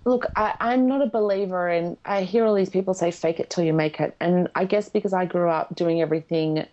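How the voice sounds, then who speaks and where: A woman's voice: on the low side, steady tone, fairly quick, darkish tone, highs cut off. A woman, a guest in a podcast interview, speaking frankly and with emphasis. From Australia.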